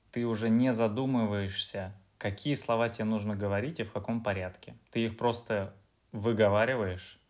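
A man being interviewed as a podcast guest, answering in a measured, explanatory way. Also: fairly low pitch. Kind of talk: casual interview.

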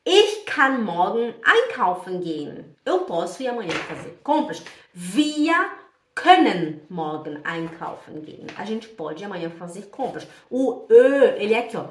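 A woman with a Brazilian accent from Pará giving an informal, chatty language lesson, repeating phrases deliberately and checking in with her listeners. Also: mid-range voice, big pitch swings, a little hiss or hum. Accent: Brazilian Portuguese, from Pará. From Belém do Pará, Brazil. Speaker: German language teacher. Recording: echoey room, distant from mic.

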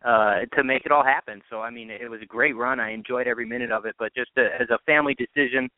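A man's voice: medium pitch, steady tone, fast talker, no room sound. A man, a radio sports announcer, giving conversational interview answers as a guest over a narrow phone line.

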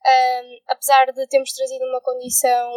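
A young woman with a European Portuguese accent, her voice a little higher than usual, talks in an agreeable, searching way with frequent fillers. She sounds close to the mic in a dry space. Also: completely flat delivery, brisk, darkish tone, no room sound. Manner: agreeable, often affirming others, hesitant fillers. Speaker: podcast co-host. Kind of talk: casual podcast discussion.

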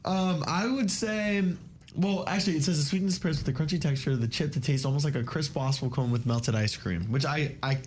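A man's voice: a little high, fairly quick, slight background noise. A young man hosting a college radio morning show, chatting casually with fillers and loose asides. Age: young adult. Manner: laid-back, rambling with fillers.

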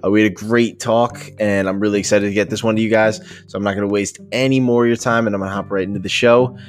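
A young man hosting a casual interview podcast, energetic in his intro and relaxed when asking questions. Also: on the low side, quick pace. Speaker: podcast host.

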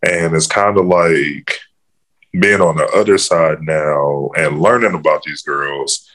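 Very deep-voiced easygoing male coach. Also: takes it slowly, a bit bright. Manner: easygoing, fills with "you know". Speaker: first-year girls' basketball coach. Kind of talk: casual interview answers.

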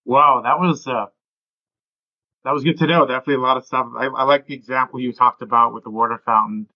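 A man's voice: mid-range voice, fairly even intonation, fast talker, dry recording, dull audio. A man hosting a casual interview, speaking informally and thinking aloud as he goes. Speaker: podcast host.

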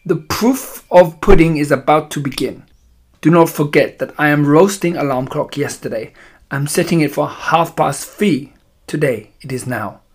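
A man's voice: a little high, husky. Man putting on an imperious, malevolent witch. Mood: menacing. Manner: slow, emphatic, broken grammar. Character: imperious, malevolent female Grand High Witch plotting against children.

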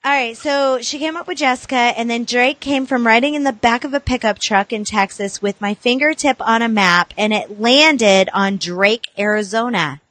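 A woman's voice: on the high side, normal speed, few pauses, a bit bright, no room sound. A woman co-hosting a casual podcast chat, swearing freely, reading things aloud and laughing with her co-host. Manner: laughing, profane, bantering.

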